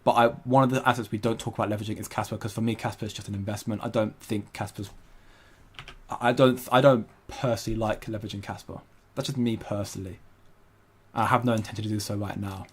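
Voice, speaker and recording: A man's voice: fairly low pitch, fairly even intonation, fast talker, slight background noise. A young man hosting a casual crypto livestream, rambling and hesitant with frequent restarts while he reads out viewer comments. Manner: rambling, hesitant, many restarts, laughs often.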